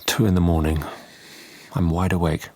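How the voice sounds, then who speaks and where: Deep, husky Victorian doctor. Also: male voice, flat delivery, moderate pace, darkish tone, dry recording. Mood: weary, low. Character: weary Victorian asylum doctor keeping a diary. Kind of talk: diary narration in radio drama.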